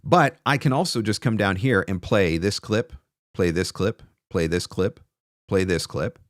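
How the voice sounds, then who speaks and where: A man's voice: low-pitched, measured pace, dry recording. A man in his forties hosting a casual advice livestream as a coach, conversational and emphatic, repeating phrases for stress, close on a desk mic in a home studio. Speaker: host and voice-over coach. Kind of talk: casual livestream advice, answering viewer questions. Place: small home studio, indoors. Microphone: close desk microphone on a stand.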